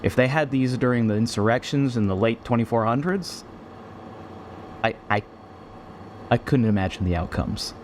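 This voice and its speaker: A man's voice: medium pitch, moderate pace, long pauses, a little mellow, slight background noise, close to an everyday voice. Man acting as a defiant, weary military commander. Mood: guarded, urgent. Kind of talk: audio drama acting.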